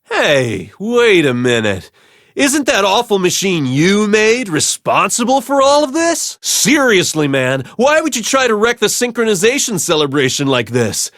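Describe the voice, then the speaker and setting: Gushing, rambling cartoon game superfan, high pitch with big swings, slightly nervous. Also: male voice, sing-song, measured pace, fairly bright tone, no room sound, studio quality, full character voice. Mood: puzzled reproach. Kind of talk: cartoon video game voice acting.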